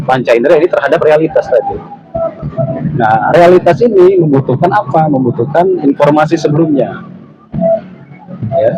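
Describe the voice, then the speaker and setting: A man giving a casual Islamic study talk, explaining step by step and ending phrases with small tag words. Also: fairly high pitch. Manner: explanatory, repeats "ya" and "gitu" tags. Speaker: ustaz answering audience question. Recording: lo-fi with noticeable noise.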